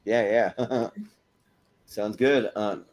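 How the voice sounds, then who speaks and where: A man hosting a movie panel show, chatting casually in a halting way and repeating words as they go. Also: fairly low pitch, darkish tone. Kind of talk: casual panel chat and sign-off plugs.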